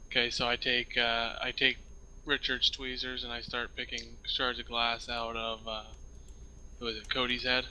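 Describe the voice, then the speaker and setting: Very bright, flat-toned man gaming. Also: mid-range voice, normal speed, poor audio. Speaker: player in a role-playing game. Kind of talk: casual in-game talk.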